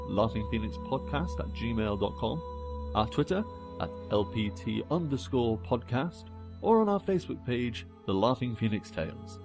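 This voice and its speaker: Man reading podcast credits over music. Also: medium pitch. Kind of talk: scripted credits read. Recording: music behind the voice. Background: instrumental music.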